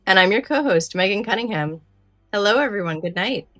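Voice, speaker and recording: A woman's voice: mid-range voice, animated, brisk, sharp tone, faint music behind. A woman chatting casually as a podcast co-host. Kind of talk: casual chat.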